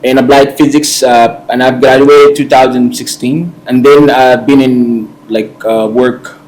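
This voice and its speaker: A man's voice: medium pitch, fairly even intonation, unhurried, a little mellow, poor audio. A man, a PhD student introducing himself hesitantly with many fillers. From Sudan. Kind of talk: self-introduction.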